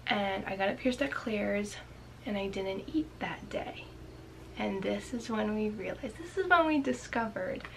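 Chatty young woman, slightly raspy. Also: medium pitch, unhurried, a little hiss or hum. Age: young adult. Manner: chatty, many "like" fillers, drops into whispers. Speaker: vlogger. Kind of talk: casual storytelling to camera. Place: small bedroom indoors.

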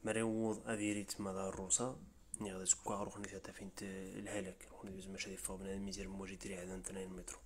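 Riffian man, lowish voice, quick informal explainer narration, tutorial voice-over. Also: completely flat delivery, slight background noise. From Rif region of Morocco. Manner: brisk, informal, step-by-step explaining. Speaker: tutorial presenter. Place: voice-over, not the pictured scenes.

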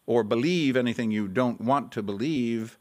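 An actor playing a patient pastor leading a Bible study in an audio drama, earnest and explanatory. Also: male voice, mid-range voice, normal speed, a little mellow, natural voice.

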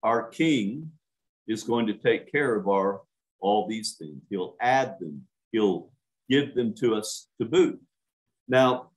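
A man's voice: mid-range voice, low-quality recording. An older man, a minister, preaching a sermon to a home church over a webcam, earnest and emphatic, stretching out key words.

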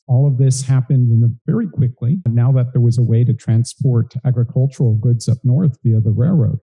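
Middle aged guy from south texas giving a history talk on a panel, really dark soft-edged voice. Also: medium pitch, breathy edge, low-quality recording. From south Texas, United States. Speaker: English professor, panelist. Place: college event room, seated on stage. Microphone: handheld microphone.

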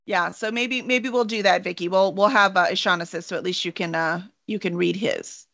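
A woman's voice: medium pitch, steady tone, moderate pace, vocal fry, pushed and bright. A woman hosting a casual chat with her tabletop players over a video call, rambling and self-deprecating. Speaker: game master and show host. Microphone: video call webcam. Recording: dull lo-fi webcam audio.

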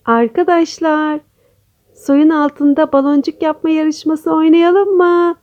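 A woman's voice: high-pitched, steady tone, normal speed, warm tone, pitched above the performer's own voice, natural voice. A woman voicing a cheerful, playful young hippo in a children's storybook, exuberant and sing-song. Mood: playful, excited.

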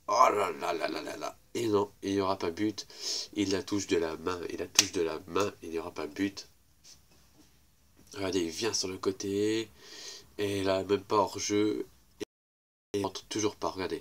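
A young man with a head cold talks over football clips in a deep, congested voice. He rambles casually, repeating himself as he points out each play. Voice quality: congested, little voice. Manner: rambling, repeats "regardez" and "voilà". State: has a cold, weak voice. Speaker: YouTuber commenting match highlights. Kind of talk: casual football highlight commentary.

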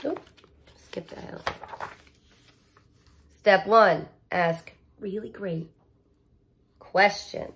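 A woman in her thirties reading a picture book aloud like a teacher, expressive and playful, with casual asides and emphasis on key words. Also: medium pitch, extremely slow, pauses often. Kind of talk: animated children's read-aloud with casual asides.

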